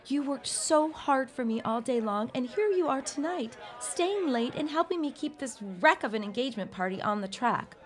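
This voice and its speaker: Actress as high-voiced, wry bride-to-be. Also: female voice, animated, moderate pace, few pauses. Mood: exasperated gratitude. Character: anxious, talkative 1910s bride-to-be, wry and dramatic. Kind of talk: period audio drama acting. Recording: party crowd chatter behind.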